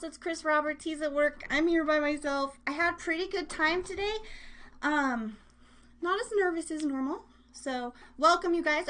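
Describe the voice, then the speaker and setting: A woman's voice: sits high, a bit bright. A woman hosting a live internet radio show in casual, scattered chat, speaking straight to her listeners. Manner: chatty, scattered, addresses listeners directly. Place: indoors at home.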